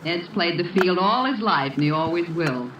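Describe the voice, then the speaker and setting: A woman's voice: lowish voice, lively intonation, moderate pace, recorded from a distance, close to an everyday voice. An actress playing a catty, smug young woman in an old-time radio drama, with sugary, mocking condescension. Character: catty, smug young woman taunting her former friend. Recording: muffled, noisy, roomy old radio recording.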